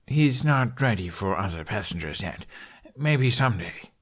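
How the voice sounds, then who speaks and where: A man voicing a polite, earnest young man in an audiobook, gentle and slightly awkward. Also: fairly low pitch, expressive, moderate pace, husky. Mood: polite, kindly. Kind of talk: fan audiobook character voice.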